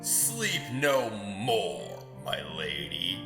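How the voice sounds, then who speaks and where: A man's voice: on the low side, sing-song, extremely slow, heavily acted. A man playing a vengeful tyrant lord and former soldier, taunting and mocking in formal, theatrical audio drama speech. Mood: taunting, menacing. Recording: roomy echo, music underneath. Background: music.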